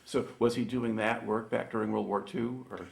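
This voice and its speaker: An American man with a creaky, gravelly edge to his mid-pitched voice. He works as an oral history interviewer, putting informal questions to his guest. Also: fairly even intonation, normal speed.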